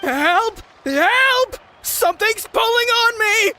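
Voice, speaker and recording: A woman's voice: extremely high pitch, expressive, slow pace, bright, forceful tone, slight background noise, exaggerated voice. A woman playing a timid young swimmer in a fantasy game. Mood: panicked. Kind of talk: animated fantasy game acting.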